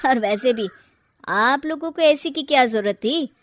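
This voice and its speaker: A woman's voice: sits high, unhurried. A woman voicing a self-righteous young adult son in a cartoon moral story, stern and accusing.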